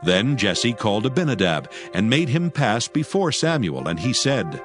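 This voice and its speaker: A man's voice: medium pitch, sing-song, normal speed. A man narrating scripture as a solemn, scripted dramatic story. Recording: prominent music bed behind voice.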